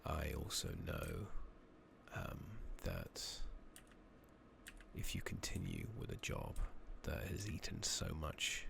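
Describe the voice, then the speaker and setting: A soft-spoken man, partly whispering in an ASMR game playthrough style, speaking haltingly with long pauses into a close microphone. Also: a bass voice, gravelly. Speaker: game streamer, ASMR host. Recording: steady noise, keyboard typing and clicking.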